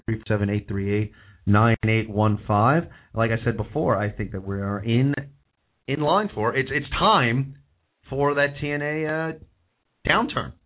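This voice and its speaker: Hoarse male host, opinionated and rambling, mid-range voice, lo-fi phone-quality audio. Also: medium pitch. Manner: opinionated, rambling, repeats himself. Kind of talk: call-in wrestling talk show monologue.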